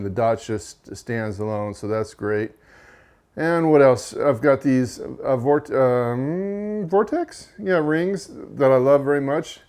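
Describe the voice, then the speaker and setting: A man hosting his own channel, giving a casual, unhurried product review in a monotone voice, with frequent fillers and self-corrections. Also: mid-range voice. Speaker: rifle reviewer and channel host.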